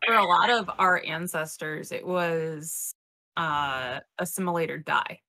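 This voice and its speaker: Bright, forceful low-pitched woman. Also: unhurried, gravelly. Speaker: panelist. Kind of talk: casual panel discussion.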